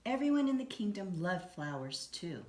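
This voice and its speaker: A woman reading a children's story aloud in an expressive, deliberate storytelling manner. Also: medium pitch. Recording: roomy echo, some background noise.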